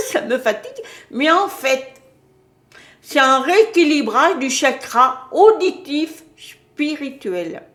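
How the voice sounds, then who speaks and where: A woman's voice: sits high, sing-song, slow pace, fairly bright tone, roomy sound. A woman giving a casual spiritual talk to her viewers, hesitant, with many fillers and restarts. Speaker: spiritual channel host.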